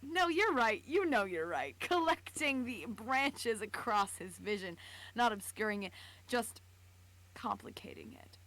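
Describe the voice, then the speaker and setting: A woman's voice: fairly high pitch, expressive, measured pace, fairly bright tone, low-quality recording. A woman narrating fan fiction dramatically on a live stream, stumbling over words and dropping into casual asides. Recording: clear background noise.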